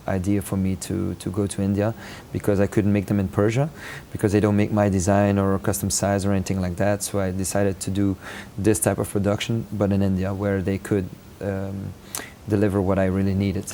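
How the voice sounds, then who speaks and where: A man from Belgium speaks in a low, steady, mellow voice. He answers questions hesitantly, pausing on fillers and restarting phrases. A faint hum of noise sits behind him. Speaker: interview guest, rug maker. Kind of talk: casual interview answers.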